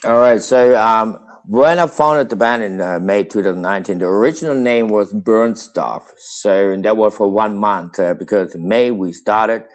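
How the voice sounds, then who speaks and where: A man with a fairly low voice talks loosely and at length, piling on "so" and "uh" as he goes. It is relaxed guest chat on a call. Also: normal speed, few pauses. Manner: rambling, filler-heavy, frequent "so" restarts. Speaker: band founder and guitarist guest. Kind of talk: casual interview chat.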